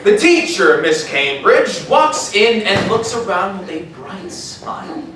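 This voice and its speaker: Animated male narrator in an echoey hall. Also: sits high, slow. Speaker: narrator of a live audio drama table read. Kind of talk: animated scripted storytelling narration. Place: large indoor panel room at a convention. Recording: echoey convention hall, distant mic, faint noise.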